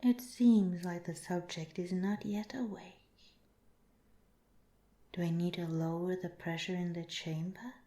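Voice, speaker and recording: Woman acting as clinical alien scientist, ASMR roleplay, very slow, soft and half-whispered, formal, calm, roomy echo. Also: on the low side, close to an everyday voice. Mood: detached, observing. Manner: measured, formal, detached, scientific phrasing. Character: calm, clinical, curious alien researcher studying a captured human. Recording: roomy, echoing voice.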